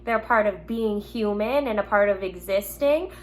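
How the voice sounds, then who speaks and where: A woman teacher speaking gently and simply to young children, reading a picture book aloud at home with casual asides. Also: mid-range voice, moderate pace. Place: at home in an apartment. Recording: noticeable background noise, home recording.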